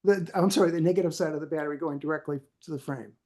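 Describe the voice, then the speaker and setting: A man, a club member, chatting casually on a conference call, halting and searching for words. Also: fairly high pitch, warm tone. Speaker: club member, classic car owner. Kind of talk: casual chat.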